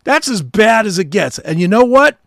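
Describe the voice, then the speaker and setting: A man's voice: high-pitched, very animated, normal speed, vocal fry, fairly bright tone, no echo, studio quality. A middle-aged American man co-hosting a talk show, chatting casually and bluntly with strong opinions and some swearing.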